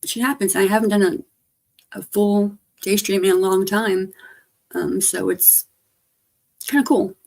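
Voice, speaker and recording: A hoarse woman with a gravelly edge, talking at a middling pitch. She rambles casually to her stream audience, letting her sentences trail off softly. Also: steady tone, unhurried, long pauses. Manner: rambling, trailing off, soft-spoken. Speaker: livestreamer. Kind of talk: casual livestream chat.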